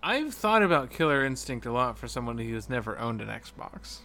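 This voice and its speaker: A man's voice: medium pitch, very animated, moderate pace, pushed and bright. A man co-hosting a podcast in casual chat about video games. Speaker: podcast co-host.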